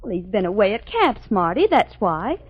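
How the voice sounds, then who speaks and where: A woman's voice: medium pitch, very animated, normal speed, breathy edge. A woman playing a pert, defensive, girlish young lady in a 1940s radio commercial skit. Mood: defensive, pouty. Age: young adult. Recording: dull vintage 1940s radio sound.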